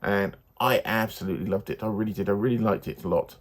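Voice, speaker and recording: A man giving a casual, unscripted book review in a hesitant, halting, rambling way. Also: on the low side.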